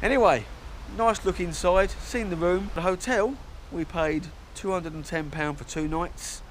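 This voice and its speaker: A man's voice: sits high, unhurried, poor audio. Chatty blokey man on an action cam. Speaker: motorcycle vlogger. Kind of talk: casual travel vlog. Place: outdoors, hotel grounds and ferry deck. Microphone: action camera held at arm's length. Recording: outdoor camera with clear background noise.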